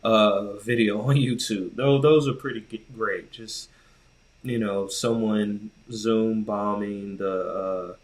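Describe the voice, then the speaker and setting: A man's voice: lowish voice, fairly even intonation, takes it slowly. An American man co-hosting a podcast, talking casually about tech in an informal, slangy, opinionated way. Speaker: podcast co-host.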